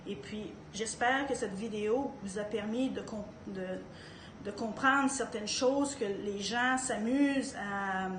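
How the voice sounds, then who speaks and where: A woman's voice: mid-range voice, roomy sound, slight background noise, low-quality recording. A woman from Canada with a Canadian French accent, speaking to camera in a casual monologue, hesitant, with many fillers and restarts. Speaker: party member speaking to camera.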